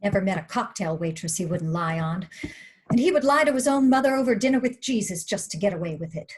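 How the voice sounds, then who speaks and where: Woman acting husky, biting middle-aged screen star. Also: medium pitch, animated, quick pace, natural voice. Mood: scornful, biting. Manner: biting, wry, emphatic repetition. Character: fifty-four-year-old Lucille Ball, nervous, tart-tongued, bitter screen star. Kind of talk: dramatic screenplay table read.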